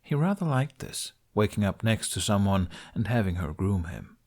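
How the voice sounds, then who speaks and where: A man's voice: on the low side, very animated, normal speed, darkish tone. A man reading scripted fiction as an audiobook narrator.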